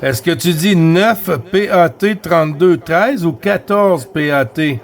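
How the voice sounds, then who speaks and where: A man with a Québécois accent chatting casually over CB radio, relaxed and friendly, repeating phrases with fillers. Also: a little high, slow, background noise. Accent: Québécois French. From Quebec. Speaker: CB radio operator.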